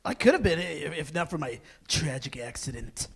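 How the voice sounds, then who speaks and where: A woman acting a pompous, melodramatic bowling alley owner with a tragic past, in a drawn-out comedy table read delivery. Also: sits low, very animated, gravelly, husky, exaggerated voice. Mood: mock tragic.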